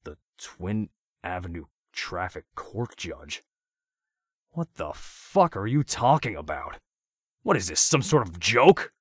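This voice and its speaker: A man's voice: lowish voice, big pitch swings, a little slow, husky, no echo, clean studio sound, voice pushed up, exaggerated voice. Man doing a panicked captive guy. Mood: defiant, angry. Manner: stammering, then cursing. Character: frightened, defiant captive man.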